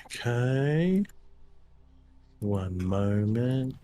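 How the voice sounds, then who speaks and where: A player of a tabletop game, talking in a halting, hesitant way. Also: mid-range voice, animated, mellow, a little hiss or hum. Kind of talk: casual game talk.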